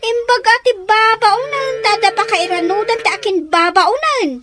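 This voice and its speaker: A woman's voice: very high-pitched, moderate pace, over loud music. A woman with a Filipino accent acting a feisty, outspoken yet sentimental woman in a radio drama. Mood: scolding, indignant.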